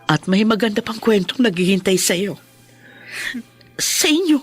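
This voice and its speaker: A woman's voice: mid-range voice, lively intonation, a little slow, husky, soft background music. A woman playing a caring, hopeful grown daughter and mother with a Filipino accent in a radio drama. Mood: earnest, hopeful.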